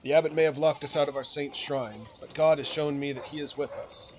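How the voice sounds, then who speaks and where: A man's voice: fairly high pitch, fairly even intonation, brisk, darkish tone. A man reading game dialogue aloud in a theatrical way, occasionally stumbling over words and correcting himself. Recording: noisy recording with clear background sound.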